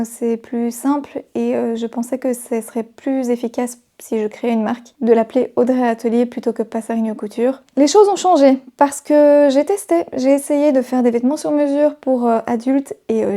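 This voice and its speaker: A woman's voice: sits high, warm tone. A woman in her thirties, a sewing vlogger chatting casually to her viewers with frequent hesitations. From Belgium, raised in Brazil. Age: 30s. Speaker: sewing YouTuber.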